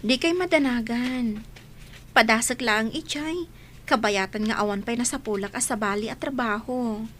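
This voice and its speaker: A woman's voice: a little high, normal speed, fairly bright tone, slight background noise, natural voice. A woman playing an earnest young woman looking for work in a scripted audio drama. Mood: reassuring. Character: earnest young woman seeking work, reassuring her father. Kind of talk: scripted radio-style drama in Ilocano.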